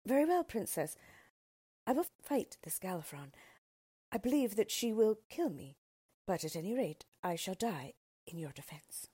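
A woman's voice: medium pitch, sing-song, normal speed, warm tone, slightly rough, no echo, studio quality. A woman reading the part of a devoted, courteous young male ambassador. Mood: resolute. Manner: earnest, courteous.

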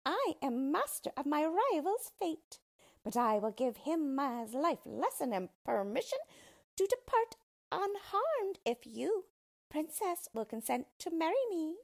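A woman's voice: sits high, big pitch swings, unhurried, vocal fry, a little mellow, voice pushed up, exaggerated voice. A woman voicing a wicked little male dwarf, a jealous storybook villain who gloats imperiously. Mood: gloating.

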